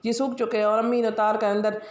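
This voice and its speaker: A woman's voice: fairly high pitch, level tone, quick pace, echoey room. Chatty Pakistani woman with a sore throat. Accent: Pakistani Punjabi. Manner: chatty, rambling, many fillers. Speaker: vlogger. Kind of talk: casual daily-routine voice-over.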